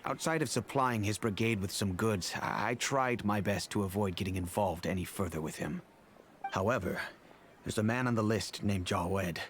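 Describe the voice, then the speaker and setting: An actor playing an earnest, remorseful, wealthy middle-aged father in a video game, speaking in a measured, formal way. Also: lowish voice, slight background noise, lightly acted. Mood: helpful. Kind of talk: video game character acting.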